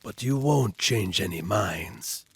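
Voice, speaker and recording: Dry skeptical norse bard, husky voice. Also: male voice, on the low side, expressive, slow pace, fairly bright tone, no room sound, full character voice. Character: wry Norse skald, sardonic and cautious. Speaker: game character. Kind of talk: video game cutscene acting.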